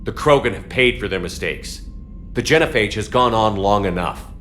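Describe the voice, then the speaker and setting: Deep-voiced resolute military commander. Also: male voice, expressive, moderate pace, a bit bright. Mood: firm, controlled. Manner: blunt, controlled, decisive. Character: firm, resolute human male military commander. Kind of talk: cinematic video game acting. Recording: game music behind.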